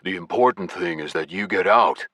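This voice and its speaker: A man acts out a gruff young bro in a fantasy audiobook. He is loyal and laid-back, growling his slangy lines slowly, in a dry, close sound. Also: deep voice, sharp tone, no echo. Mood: reassuring, dry.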